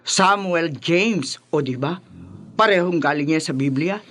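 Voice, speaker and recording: A woman's voice: low voice, a little slow, fairly bright tone, no room sound. An actress playing a proud, talkative, bossy older mother and mother-in-law in a radio drama. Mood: bossy, chatty. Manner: chatty, bossy, exclamatory. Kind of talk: Tagalog radio drama acting.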